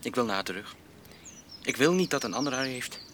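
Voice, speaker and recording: An actor playing a naive, shy young farm lad in a radio drama, hesitant and stammering, stubborn but easily cowed. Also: male voice, medium pitch, lively intonation, fairly quick, background noise, close to an everyday voice. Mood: stubborn longing. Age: young adult.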